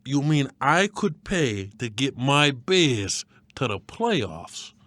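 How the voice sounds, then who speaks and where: A man's voice: medium pitch, sing-song, takes it slowly, a bit bright, dry recording. A man playing a gullible, folksy producer and eager sports fan in a scripted radio comedy. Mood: hopeful.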